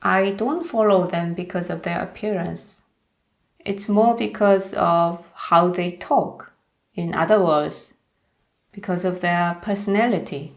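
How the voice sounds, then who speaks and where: A woman's voice: lowish voice, slow, creaky. A young woman with a Korean accent, talking to camera in a personal vlog with careful, hesitant delivery. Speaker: YouTuber.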